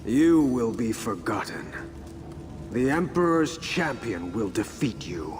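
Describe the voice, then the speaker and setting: An actor playing a grim, resolute imprisoned samurai champion in a cinematic video game. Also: male voice, mid-range voice, very animated, slow. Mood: stern. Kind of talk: cinematic game narration and dialogue. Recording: loud music behind the voice.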